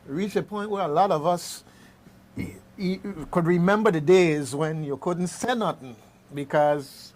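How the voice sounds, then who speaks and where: A man hosting a radio discussion, conversational and halting, often repeating phrases as he goes. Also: on the high side. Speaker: radio host. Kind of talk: unscripted discussion and commentary. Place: radio studio. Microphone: studio microphone.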